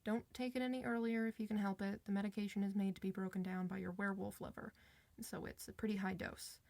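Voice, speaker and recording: Woman playing a friendly clinic doctor, ASMR roleplay, gravelly fry, very dry close sound, informal, reassuring. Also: mid-range voice, flat delivery, darkish tone, dry recording, natural voice. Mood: calm, reassuring. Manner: reassuring, informal, hesitant pauses. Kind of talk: ASMR roleplay, one side of a conversation.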